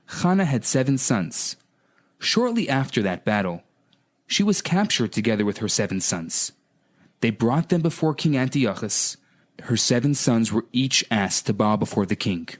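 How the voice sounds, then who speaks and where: A man, a rabbi appearing as a radio guest, telling a story in a scripted storytelling style. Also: medium pitch.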